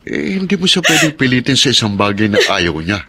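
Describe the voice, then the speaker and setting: An actor playing a calm, sensible Filipino husband in a radio drama, giving advice in a measured way. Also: male voice, lowish voice, slight background noise, full character voice. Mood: calm, advising. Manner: measured, advising.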